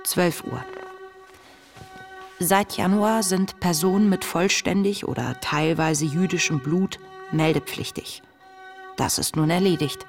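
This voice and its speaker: An actress playing an introspective young woman who reads her diary in a radio play, earnest and confessional, with a breathy voice. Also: fairly low pitch, soft background music. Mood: sober, confiding. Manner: reflective, measured, earnest, confessional. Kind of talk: literary radio play monologue, intimate diary narration.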